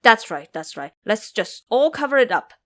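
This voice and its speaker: A woman's voice: on the high side, sing-song, moderate pace. Woman reading greedy grumbling pig-monk, storybook figure. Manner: complaining, muttering.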